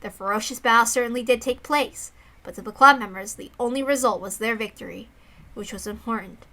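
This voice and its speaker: A woman's voice: fairly high pitch, brisk, sharp tone. A woman reading a visual novel aloud, mixing dramatic narration with casual asides.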